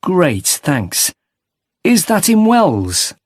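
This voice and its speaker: A man's voice: sits high, wildly swooping pitch, very slow, no echo, clean studio sound, full character voice. A man playing a polite, sympathetic street interviewer asking transport survey questions in a scripted listening-test dialogue.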